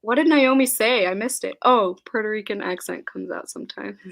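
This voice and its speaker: A woman's voice: mid-range voice, moderate pace, vocal fry, a bit bright. A young woman hosting a casual live-stream book chat, giggly and scattered, reading viewer comments aloud. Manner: giggly, scattered, laughs often.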